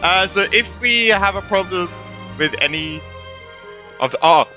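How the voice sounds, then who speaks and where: A young man giving casual, rambling, excitable reactions as he plays a video game. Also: sits high, a little slow. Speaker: streamer playing a video game. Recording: game music faintly behind.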